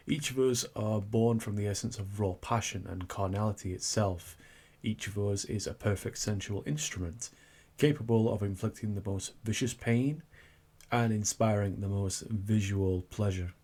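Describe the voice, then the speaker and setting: A husky incubus demon, acted out by a man, speaking in a fairly low voice. He is suave and theatrical, a teasing seducer with a tender side, performed as an intimate ASMR roleplay character. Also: fairly even intonation, measured pace, a little mellow. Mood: suave, boastful. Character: seductive, cocky male incubus demon turning flustered and tender.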